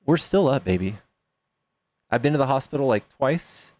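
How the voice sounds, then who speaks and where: A man in his late thirties talking loosely to his stream audience from a home setup. His mid-pitched voice is recorded close and dry, with no room sound around it. He rambles, jokes, and thanks donors as they come in. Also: animated, normal speed, darkish tone, dry recording. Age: 30s. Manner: chatty, reads donations aloud, jokes with chat. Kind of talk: casual livestream chat.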